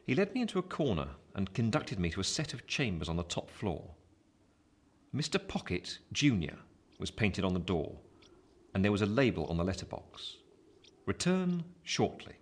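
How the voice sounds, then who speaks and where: A man playing a wry, gloomy gentleman, narrating a Victorian tale in the first person in measured phrases. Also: on the low side, very animated, husky.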